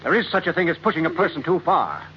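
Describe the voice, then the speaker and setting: An actor playing an indignant, defiant gentleman with a stern manner in an old-time radio melodrama. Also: a little high, very animated, quick pace. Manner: stern, indignant, clipped warnings. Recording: muffled, noisy old radio recording.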